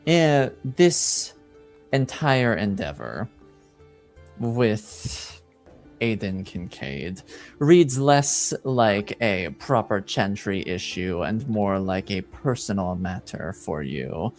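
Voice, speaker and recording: A man playing a haughty, shrewd duke in a tabletop game, formal, deliberate and skeptical. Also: on the low side, expressive, soft background music. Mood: dismissive, haughty.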